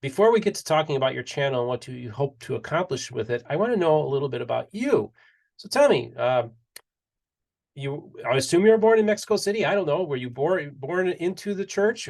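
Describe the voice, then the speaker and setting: A man's voice: on the high side, sing-song, fast talker, vocal fry, darkish tone. A male podcast host chatting casually and enthusiastically, often repeating and restarting his words. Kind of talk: casual interview chat.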